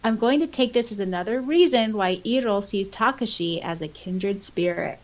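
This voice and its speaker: A woman's voice: medium pitch, expressive, normal speed. A woman co-hosting a podcast, chatting casually and gushing with enthusiasm over listener comments and favorite stories. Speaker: podcast co-host. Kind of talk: casual chat, reading and reacting to listener comments.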